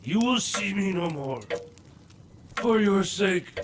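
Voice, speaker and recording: A wailing, high-pitched ghost in chains. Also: male voice, expressive, background noise. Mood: grave warning. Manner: wailing, dramatic, drawn-out delivery. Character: anguished, chained ghost of a dead business partner. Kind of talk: theatrical audio drama acting.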